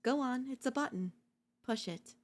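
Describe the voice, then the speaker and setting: A woman playing an obsessive, domineering yandere in a dark ASMR roleplay, seductive and smug, slow and teasing, slipping into whispers. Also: high voice, natural voice. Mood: gloating, excited. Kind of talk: dark ASMR audio roleplay, intimate dramatic acting.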